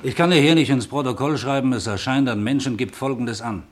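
An actor playing a pedantic police sergeant in a German radio play, explaining things in an officious, matter-of-fact way. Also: male voice, medium pitch, a little fast, natural voice. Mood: officious, insistent. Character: pedantic male police sergeant taking down a report.

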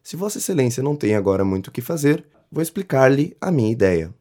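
A male actor plays a grandiloquent inventor from a nineteenth-century stage comedy, made for audio. His tone is mellow and rounded at a middle pitch. He speaks with florid, effusive flattery and great self-importance, quick to theatrical indignation. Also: lightly acted. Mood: earnest, self-important. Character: pompous, flattering male inventor petitioning a politician for privilege. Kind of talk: audio drama acting of a 19th-century comedy.

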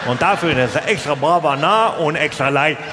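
A man's voice: a little high, animated, normal speed, lightly acted. A male comedian on stage voicing a garbled, hard-to-understand Chinese restaurant worker taking phone orders. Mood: garbled, insistent. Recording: reverberant live stage hall with noise.

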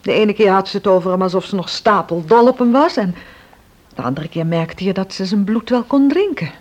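A woman's voice: medium pitch, expressive, brisk, darkish tone, close to an everyday voice. A woman playing a spirited, inquisitive confidante in a Dutch radio drama, speaking bluntly and expressively. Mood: frank, probing.

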